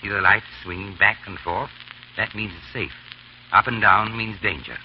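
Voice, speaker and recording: A man playing a steady, loyal English gentleman in a 1930s radio drama, measured and grave. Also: on the low side, natural voice. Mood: grave, reassuring. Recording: muffled, hissy 1930s radio recording.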